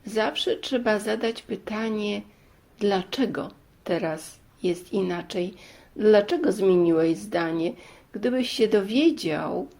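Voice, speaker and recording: A woman's voice: mid-range voice, creaky, darkish tone, heavily acted. A woman voicing a wise storybook mother who admonishes her sons in an instructive, questioning way.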